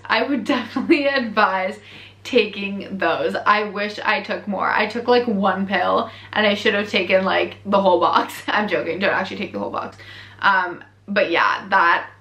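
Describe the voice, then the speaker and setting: A woman's voice: mid-range voice, bright, forceful tone. A young Canadian woman vlogger with a Canadian accent, chatting candidly and laughing often as she reacts to her own earlier video. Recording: echoey room.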